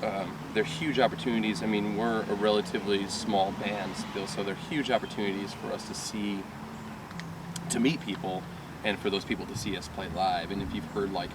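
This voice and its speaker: A young man from Michigan with a lowish voice tells loose, rambling stories, laughing and restarting as he goes. He stands a little off from the mic, and loud street traffic roars around him outdoors. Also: flat delivery, normal speed, distant mic. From Michigan, USA. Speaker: rock band singer. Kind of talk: casual interview chat.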